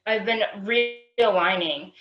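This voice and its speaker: A woman's voice: mid-range voice, slow pace. Woman, hesitant, many fillers, roomy sound, muffled lo-fi audio. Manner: hesitant, full of fillers, searching for words. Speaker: panelist. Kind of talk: personal storytelling on a panel. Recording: roomy and dull, lo-fi.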